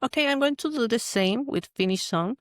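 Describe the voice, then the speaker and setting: A woman from Mexico, a guest chatting casually and halting as she searches for words. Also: on the high side, expressive, no room sound, studio quality. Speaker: guest, metal journalist. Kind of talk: casual chat.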